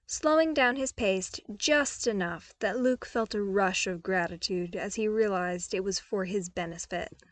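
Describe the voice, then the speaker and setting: A woman's voice: medium pitch, a little slow. A woman reading scripted fiction expressively as an audiobook narrator, close to the microphone.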